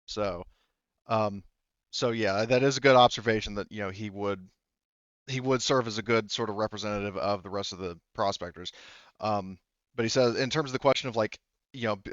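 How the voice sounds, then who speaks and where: Low, clean-voiced male game master, rambling. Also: a bit bright, smooth, no echo, dull audio. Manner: rambling, relaying characters' words in reported speech. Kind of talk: improvised tabletop roleplay narration.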